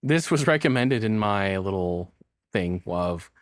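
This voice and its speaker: A man's voice: fairly low pitch, expressive, unhurried, no room sound. Guy streamer reacting to stuff, sweary casual chat, voice goes up and down a lot, dry room. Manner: sweary, chatty.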